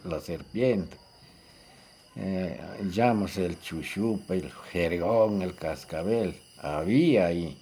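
An older man with a Peruvian accent recalling the past informally, haltingly and with repeated phrases. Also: deep voice, mellow, slight background noise. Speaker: local resident giving testimony. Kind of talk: casual spoken reminiscence.

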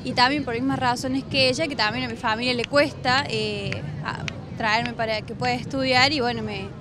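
A young woman student talks gently and casually in a street interview. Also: a little high, steady tone, normal speed, noisy background. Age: young adult.

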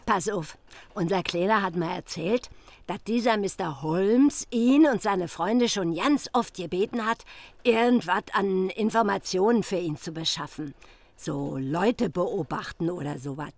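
Casting: shrewd, commanding Berlin wife, working class, blunt radio-play delivery, dry close sound. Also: female voice, medium pitch, creaky, no echo. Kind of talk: German audio drama acting.

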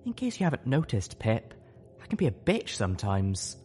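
Man voicing a high, heartfelt refined mare. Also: huge pitch swings, moderate pace, darkish tone, soft background music. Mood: hesitant, pleading. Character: Velvet Remedy, refined female pony, doubtful, pleading, heartfelt.

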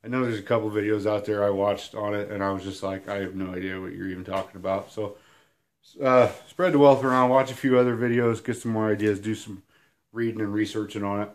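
A man in his thirties, hoarse and sniffling from a cold, giving a rambling, self-deprecating whiteboard lesson at home. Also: fairly low pitch, steady tone, fast talker. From Illinois. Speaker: outdoors channel host. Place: small room at home, indoors.

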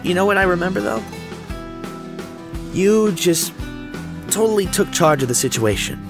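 A woman's voice: lowish voice, expressive, moderate pace, music in the background. A woman voicing an anxious, scatterbrained teenage schoolboy in an audio drama, hesitant and exclamatory. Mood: worried, sincere.

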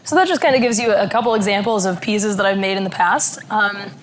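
A woman in her thirties, hoarse from laryngitis, giving a conference talk in a halting way with many fillers, speaking at a podium mic. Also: medium pitch, over music. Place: conference room.

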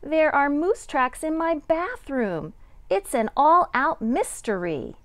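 A middle-aged woman, a kindergarten teacher, reading a picture book aloud to young children with deliberate, sing-song dramatic emphasis. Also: sits high, very animated, dry recording.

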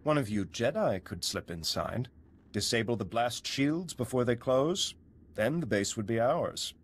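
An actor playing an earnest, insistent resistance leader in a video game, serious and deliberate. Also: male voice, mid-range voice, lively intonation, breathy edge. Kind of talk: video game cutscene acting.

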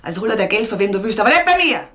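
A woman's voice: mid-range voice, sing-song, very fast, a bit bright. A woman playing a tough, brusque, fearless woman in a crime radio play. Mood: defiant. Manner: brusque, confrontational.

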